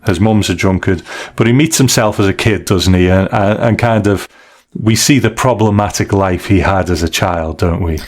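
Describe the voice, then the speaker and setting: A man's voice: low voice, normal speed. A man hosting a podcast, talking casually and recapping stories with tag questions. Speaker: podcast host.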